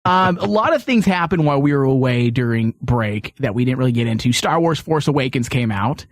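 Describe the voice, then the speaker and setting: A man's voice: medium pitch, lively intonation, fairly quick, dry recording. A man hosting a studio podcast in a casual, chatty style with teasing banter. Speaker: podcast host.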